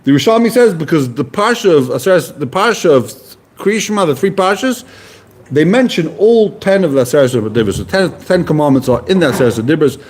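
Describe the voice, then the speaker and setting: A man's voice: on the high side, lively intonation, a little fast, darkish tone. A man giving a casual Talmud class, explaining Hebrew and Aramaic terms in plain conversational English. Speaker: Talmud teacher.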